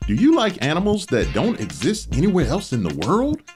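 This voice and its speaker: A man's voice: a little high, wildly swooping pitch, fairly quick, darkish tone. A man playing a grandiose TV zoo commercial announcer in a parody ad, salesy and showy. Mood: showy, enthusiastic. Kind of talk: parody TV commercial in audio drama. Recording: music behind.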